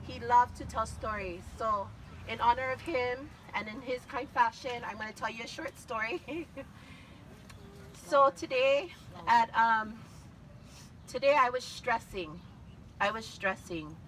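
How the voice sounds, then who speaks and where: A hoarse woman, who has lost her voice, speaking hesitantly with many fillers as she gives a tribute to her father outdoors at a beach. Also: fairly high pitch, far from the mic. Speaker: daughter giving a memorial tribute. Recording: outdoor speech from off mic, with traffic noise.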